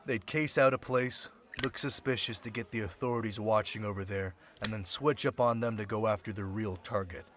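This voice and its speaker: A man's voice: fairly low pitch, steady tone, moderate pace, hardly any pauses, natural voice. A man playing a sly, centuries-old thief turned security specialist, talking in a casual, teasing way in an audio roleplay drama. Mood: businesslike, confiding. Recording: loud crowd chatter behind voice.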